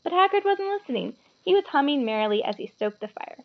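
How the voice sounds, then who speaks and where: A woman reading a children's novel aloud as an expressive storyteller. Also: high voice, fast, narrow, tinny audio. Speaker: storybook reader.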